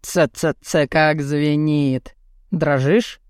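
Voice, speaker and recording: A gruff, burly, bearded man performed by a woman narrator speaks low in an audiobook. He is brazen and lecherous, with a blunt, mocking, commanding delivery. The sound is dry and close. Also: steady tone, extremely slow, a little mellow, dry recording. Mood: mocking, insinuating. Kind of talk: audiobook character dialogue.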